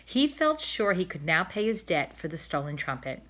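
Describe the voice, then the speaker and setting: A woman reading a children's story aloud expressively, in deliberate, clearly phrased narration. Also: fairly low pitch, sing-song, a bit bright. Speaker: storybook reader.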